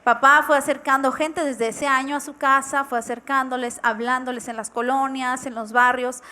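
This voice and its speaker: A young woman with a bright, high-pitched voice co-hosts a church television program. She welcomes viewers and tells a family story in an earnest, storytelling manner. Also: steady tone, normal speed. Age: 20s. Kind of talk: warm testimonial talk on TV.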